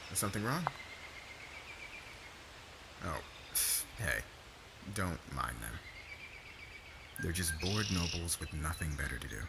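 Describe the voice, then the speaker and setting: A man's voice: deep voice, creaky, background noise, full character voice. A man playing a laid-back, devoted young warrior husband in an ASMR romance roleplay, talking in casual slang. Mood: playful, affectionate. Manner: casual slangy talk, stammers when flustered.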